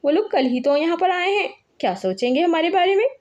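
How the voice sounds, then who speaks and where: Mother cartoon bird played by a woman: gentle, courteous, forgiving, high-pitched. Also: expressive, brisk, lo-fi sound. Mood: polite, hesitant. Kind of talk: Hindi cartoon character acting.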